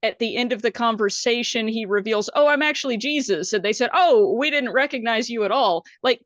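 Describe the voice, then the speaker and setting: A woman's voice: on the high side, a little fast, a bit bright, no echo. A woman hosting a podcast, asking questions earnestly and leaning on fillers like "you know". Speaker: podcast host. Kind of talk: casual interview questions.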